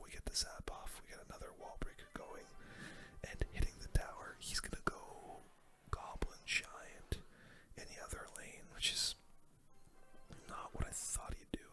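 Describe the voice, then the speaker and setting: Whispering ASMR gamer. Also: moderate pace. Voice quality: soft unvoiced whisper. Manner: casual, slangy running commentary. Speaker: gaming video host. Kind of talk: whispered ASMR gaming commentary.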